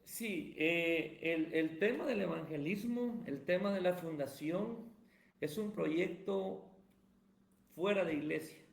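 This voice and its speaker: Male pastor, high voice, preachy emphatic delivery, roomy echoey sound. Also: slow, creaky. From Guatemala. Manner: emphatic, sermon-like cadence. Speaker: pastor and evangelist, guest. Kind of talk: preaching-style interview answers. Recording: roomy echo.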